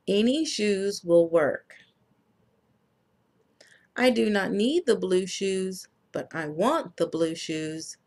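Lady reading as a simple earnest little boy, slow picture-book talk. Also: medium pitch, darkish tone.

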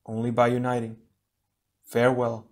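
A man voicing a mysterious, solemn voice from a vision, speaking slowly in broken fragments. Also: medium pitch, monotone, lightly acted.

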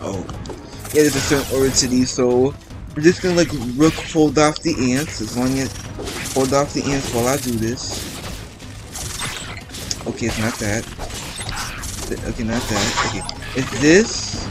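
एक किशोर लड़का, जो गेम खेलते हुए बिखरी, बातूनी कमेंट्री करता है, बार-बार "um" कहकर वाक्य दोबारा शुरू करता है, पीछे गेम का संगीत साफ़ बजता है।. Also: male voice, mid-range voice, a little slow, long pauses, low-quality recording. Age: teens. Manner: rambling, chatty, many restarts and ums. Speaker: gameplay commentator. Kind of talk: casual let's-play commentary. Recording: game music playing underneath.

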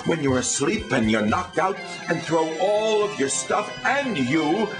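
A middle-aged man giving a sarcastic, theatrical mock infomercial pitch. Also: sing-song, measured pace, music in the background. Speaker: infomercial pitchman in a satirical rant.

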